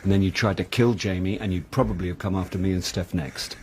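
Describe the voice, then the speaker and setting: A man's voice: low-pitched, steady tone, quick pace, a little mellow, slight background noise. An actor playing a wry, self-deprecating middle-aged man, an amateur sleuth, in a radio drama. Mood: accusing. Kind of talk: radio drama acting with first-person narration.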